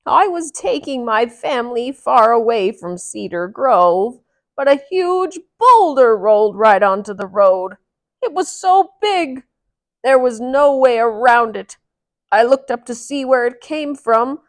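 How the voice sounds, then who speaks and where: A woman's voice: a little high, animated, a little slow, voice pushed up. A woman voicing a frightened male wagon driver telling of danger in a children's storybook. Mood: alarmed.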